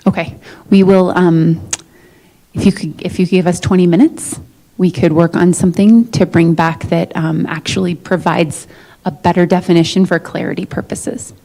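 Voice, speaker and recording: A woman speaking as a management negotiator in a formal but conversational meeting, explaining things in a measured, diplomatic way. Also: on the low side, level tone, creaky, warm tone, low-quality recording. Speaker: negotiator for a school district's management. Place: meeting room, live-streamed negotiation session.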